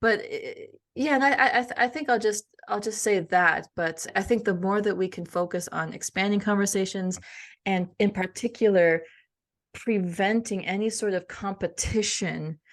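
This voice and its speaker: A woman's voice: medium pitch, normal speed. A woman speaking as an interview guest, answering in an earnest, reflective, conversational way. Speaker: guest, author and cultural intelligence coach.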